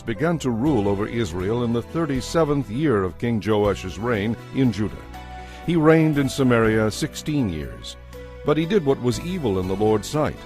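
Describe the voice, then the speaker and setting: Male scripture narrator, lowish voice, formal and measured, music underneath. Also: a little mellow. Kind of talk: scripted audio Bible narration.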